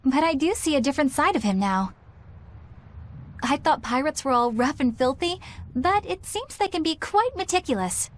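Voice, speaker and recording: A polite young woman in an anime game. She speaks in a high, lively voice with prim, proper phrasing and an earnest, expressive manner. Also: moderate pace. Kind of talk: English anime game dub acting.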